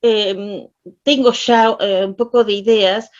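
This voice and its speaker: A woman's voice: medium pitch, fairly even intonation, slow pace. A woman from Argentina with an Argentine Spanish accent, chatting casually on a video call and searching for her words as she asks about images. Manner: rambling, hesitant, searches for words. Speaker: participant in online Dharma session. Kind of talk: casual conversation, asking questions. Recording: dull lo-fi video call audio.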